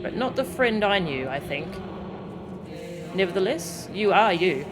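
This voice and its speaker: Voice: mid-range voice, sing-song, a little slow. A game streamer voicing a genial, wistful male ancient shade with a polite, lilting, musing manner. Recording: game music behind the voice.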